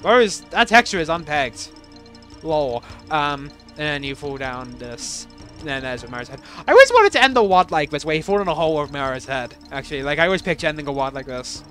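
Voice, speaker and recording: A man's voice: a little high, big pitch swings, a little fast, fairly bright tone, soft background music. A young man casually commenting on his own game levels as he plays, rambling and self-deprecating, with lots of fillers and restarts.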